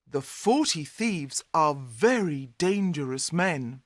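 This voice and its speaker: A woman reads as a cautious, kindly fairy-tale man. The delivery is earnest and very slow, with lively rises in pitch. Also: no echo, studio quality, exaggerated voice. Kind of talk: storybook character voice.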